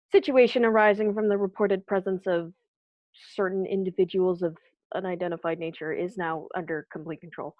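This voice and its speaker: A woman's voice: mid-range voice, no room sound, lightly acted. A young woman acting an army captain giving a live field report in a radio drama. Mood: brisk, assured. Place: bedroom at home. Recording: dull, muffled home recording.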